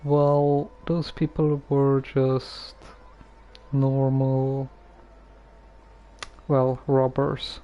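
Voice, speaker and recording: A man giving casual game commentary as a streamer, musing in a halting, hesitant way. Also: medium pitch, monotone, dark tone, muffled recording.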